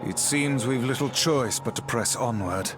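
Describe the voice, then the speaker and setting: A man's voice: mid-range voice, normal speed, lightly acted. Guy doing a stuffy old-fashioned magic book, game dialogue with music under it. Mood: grave. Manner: formal, archaic, pompous diction. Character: pompous, learned magical talking book, male companion. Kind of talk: video game voice acting.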